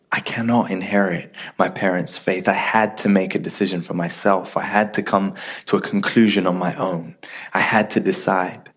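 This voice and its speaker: Husky low-voiced man, earnest. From Ethiopia and UK. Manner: reflective, earnest, builds in intensity. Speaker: testimony speaker. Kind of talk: personal faith testimony.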